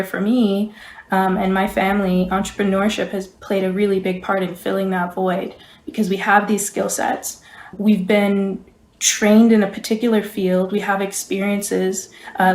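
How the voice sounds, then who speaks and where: A woman's voice: medium pitch, a little mellow. A young woman speaking thoughtfully as a guest in a podcast discussion. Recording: roomy, echoey voice pickup.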